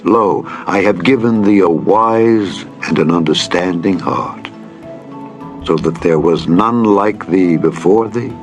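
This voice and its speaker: A man voicing a solemn, benevolent God, speaking in stately, formal declarations in a dramatized scripture reading. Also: on the low side. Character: solemn, benevolent male God granting a wish. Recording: music bed under the reading.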